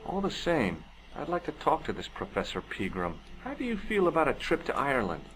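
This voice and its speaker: An actor playing a curious, easygoing male amateur investigator in an adventure game, with an expressive, inquisitive delivery. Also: medium pitch, brisk, slight background noise, low-quality recording. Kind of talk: scripted adventure game dialogue. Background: game music.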